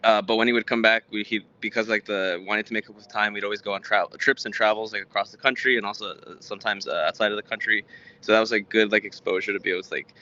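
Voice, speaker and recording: Male, American, native Spanish speaker, twenties. Interview guest with a sharp, bright tone and halting delivery, dull lo-fi recording. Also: fairly low pitch, fairly even intonation. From Houston, Texas, United States. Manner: halting, rambling, frequent stammered repeats. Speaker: guest, tech startup founder. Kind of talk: conversational interview answers.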